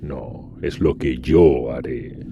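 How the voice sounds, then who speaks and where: A man playing a scarred, ambitious Iron Warrior, speaking in a low, measured, bitter and commanding way, in a fan audio drama. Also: steady tone, gravelly, dull audio, noisy background, heavily acted. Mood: determined.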